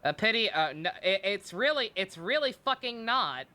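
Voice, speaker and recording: Bright-voiced sarcastic woman streaming a game. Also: medium pitch, expressive, normal speed. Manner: sarcastic, irreverent, swears freely. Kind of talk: casual live-stream commentary.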